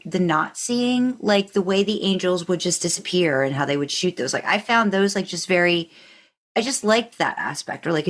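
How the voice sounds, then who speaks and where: Casting: woman podcast host, lowish pitch, casual and conversational, loosely wandering phrasing.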